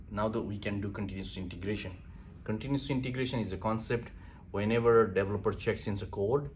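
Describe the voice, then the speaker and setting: A man's voice: fairly low pitch, a little hiss or hum. A man from Nepal with a Nepali accent, welcoming listeners to his university in an earnest, plain-spoken way with many fillers. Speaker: university founder. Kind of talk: promotional welcome talk.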